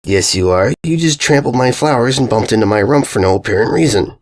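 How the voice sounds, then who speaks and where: A man's voice: mid-range voice, animated, brisk, close to an everyday voice. A man voicing an exasperated, grumpy female cartoon pony with a snippy, incredulous way of talking. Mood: irritated.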